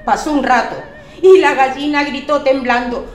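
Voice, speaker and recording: A woman from Spain reading poems aloud, animated and storytelling, voicing the quoted lines. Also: sits high, moderate pace, echoey room, faint music behind. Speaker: poetry reciter at a community reading. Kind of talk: expressive poetry recitation.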